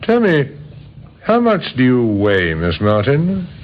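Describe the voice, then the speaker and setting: An actor playing a patient, methodical police inspector in an old radio drama, questioning politely in a measured, probing way. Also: male voice, medium pitch, huge pitch swings. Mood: measured, official. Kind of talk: old time radio acting. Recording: muffled, noisy vintage radio recording.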